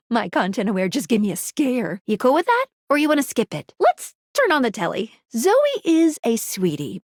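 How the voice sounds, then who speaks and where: A perky young female guide from a dating video game. Her voice sits high and swings freely up and down, playful and theatrical. The recording is dry and close, with very little room sound. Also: no echo. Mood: bubbly, teasing. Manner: bubbly, playful, theatrical delivery. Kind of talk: animated video game voice acting.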